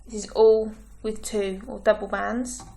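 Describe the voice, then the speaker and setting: Woman tutorial host, croaky and gravelly, slow patient delivery, faint clicks behind. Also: mid-range voice, level tone, background noise. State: croaky, clearing her throat. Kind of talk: step-by-step craft tutorial.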